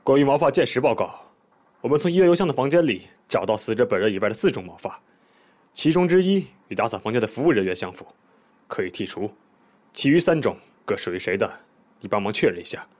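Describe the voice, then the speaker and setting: An actor playing a police inspector in a radio drama, polite and measured but quietly pressing and official. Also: male voice, mid-range voice, sing-song. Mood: businesslike. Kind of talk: broadcast drama acting.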